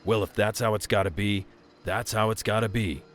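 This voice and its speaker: Man acting a low-voiced, menacing gang boss. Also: steady tone, moderate pace, slight background noise, close to an everyday voice. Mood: smug, commanding. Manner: domineering, condescending. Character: gang leader grunt, menacing, smug, bossy adult man. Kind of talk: audio drama acting.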